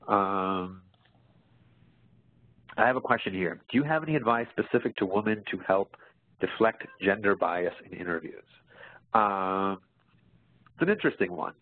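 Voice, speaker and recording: A man's voice: low-pitched, normal speed, pauses often. A man presenting as a career coach, answering webinar questions in an explanatory way, often checking in as he goes. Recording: muffled phone-like line in echoey room.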